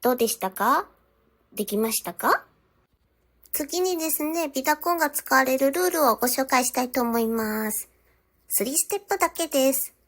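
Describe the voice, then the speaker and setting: A woman language teacher giving a scripted lesson, politely addressing her viewers with clear step-by-step instruction. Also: sits high, lively intonation, moderate pace, fairly bright tone. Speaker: Japanese teacher.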